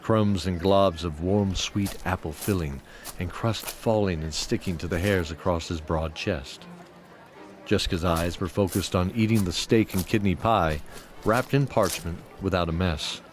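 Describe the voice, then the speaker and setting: A man's voice: low-pitched, a little slow, slight background noise. A man reading scripted fantasy storytelling narration for an audio drama. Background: music.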